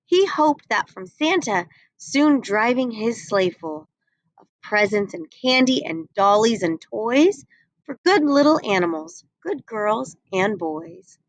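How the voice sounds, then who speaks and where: A woman's voice: on the high side, expressive, takes it slowly, dry recording, rough recording. A young woman reading a picture book aloud, expressively, on a webcam at home. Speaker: reader.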